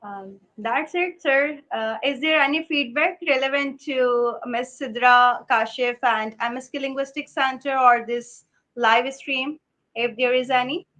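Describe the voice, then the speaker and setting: A woman's voice: high voice, unhurried, pushed and bright. A woman, politely hosting a live-stream interview over a video call. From Pakistan. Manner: polite, deferential, asks questions. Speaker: host.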